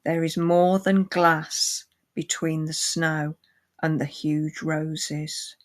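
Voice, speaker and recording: A woman's voice: deep voice, flat delivery, slow. A woman talking about books and poetry informally, as a reader and guest. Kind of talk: poem reading and informal book chat.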